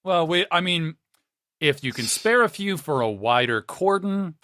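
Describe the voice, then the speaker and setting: A man's voice: on the high side, animated, unhurried, sharp tone, dry recording, studio quality. A man playing a tabletop role-playing game, talking casually and in character in a slightly rambling way.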